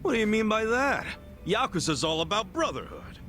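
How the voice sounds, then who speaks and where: Casting: loyal ex-gangster for a game drama, American, plain-spoken and warm-hearted, very expressive pitch. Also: male voice, normal speed, soft background music, heavily acted. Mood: reassuring, teasing. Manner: plain-spoken, streetwise, encouraging. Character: loyal, earnest, warm-hearted ex-yakuza man. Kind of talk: video game cutscene dialogue.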